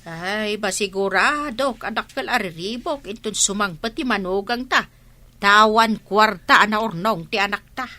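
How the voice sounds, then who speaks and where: A woman's voice: mid-range voice, normal speed, sharp tone, no room sound, close to an everyday voice. A woman with an Ilocano Filipino accent playing a frank, scolding village wife in a radio drama. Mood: matter-of-fact.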